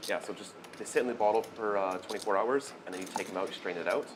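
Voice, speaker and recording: A man with a Canadian accent chatting casually at a poker table, relaxed and full of fillers. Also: on the low side, fast talker, noisy background. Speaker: poker player.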